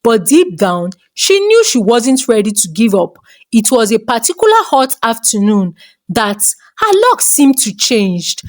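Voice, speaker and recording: A woman's voice: fairly high pitch, big pitch swings, unhurried. A woman narrating a scripted folktale as a storyteller.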